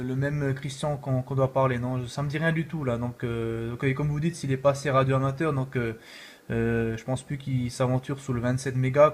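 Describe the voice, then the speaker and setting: A man's voice: mid-range voice, a little mellow. A man from France chatting casually as an amateur radio operator, in repetitive, informal operator jargon. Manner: repetitive, filler-heavy, informal.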